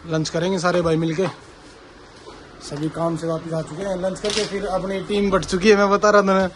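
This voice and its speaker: A man with a fairly high voice talks in a casual vlog style. His carries a flavour, and he explains things informally as he goes. The recording has clear background noise from the location. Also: level tone, normal speed. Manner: informal, chatty, explaining as he works. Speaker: vlogger and CCTV installer. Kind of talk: casual vlog talk addressed to viewers. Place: on location at work sites. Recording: clearly noisy location sound, traffic.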